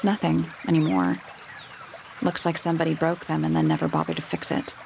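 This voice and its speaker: A woman playing a blunt, observant detective's sidekick with deadpan, laconic delivery in a mystery adventure game. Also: sits low, fairly quick, creaky, noisy background, full character voice. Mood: deadpan, teasing. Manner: deadpan, laconic, matter-of-fact.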